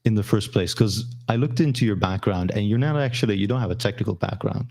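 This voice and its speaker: A man hosting a live interview stream over a video call, with upbeat, smooth patter. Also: lowish voice, fast talker, darkish tone. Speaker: host.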